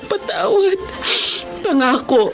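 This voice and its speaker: A woman's voice: extremely high pitch, lively intonation, takes it slowly, vocal fry, faint music behind, full character voice. An actress with a Filipino accent playing a remorseful wife and mother in a radio drama, pleading and contrite.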